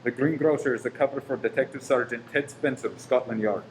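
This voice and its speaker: A man's voice: medium pitch, fast, natural voice, poor audio. A man playing a smug, precise bomb-making conspirator in a radio play. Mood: businesslike. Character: smug bomb-maker conspirator, self-satisfied villain. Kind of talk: amateur radio play acting. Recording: faint background noise.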